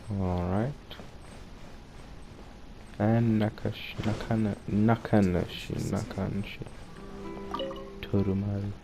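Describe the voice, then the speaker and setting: A man giving casual, playful gameplay commentary as the host, often muttering asides to himself. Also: low voice. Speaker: gaming video host. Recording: noisy home gameplay recording.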